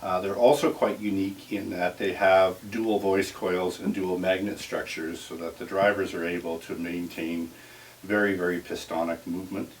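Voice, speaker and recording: A man working as an audio dealer, giving an informal technical explanation in careful, halting phrases. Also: deep voice, flat delivery. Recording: distant mic with clear hiss.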